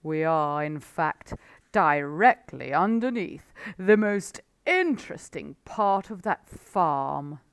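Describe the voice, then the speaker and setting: A woman voicing a sly, confident male fox who talks smoothly and persuasively in a storybook. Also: low-pitched, very animated, slow, no room sound, clean studio sound, heavily acted.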